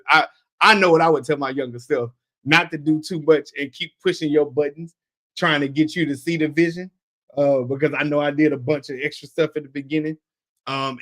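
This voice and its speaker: A man co-hosting a sports podcast, talking in a relaxed, chatty way and laughing easily. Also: a little high, dry recording. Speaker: podcast co-host. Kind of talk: casual podcast chat.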